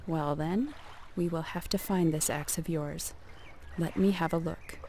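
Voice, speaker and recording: A woman voicing a stern, composed goddess of a lake in a formal, measured storybook manner. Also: on the low side, a little mellow, slight background noise, close to an everyday voice. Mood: calm concern.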